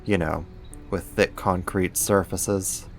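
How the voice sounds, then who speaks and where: A man's voice: sits low, steady tone, slow pace, creaky, faint music behind, full character voice. A man acting a casual, lightly teasing roommate who is secretly a supervillain, in a conversational ASMR roleplay. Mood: earnest, admiring. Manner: casual, laid-back, lightly teasing, slangy.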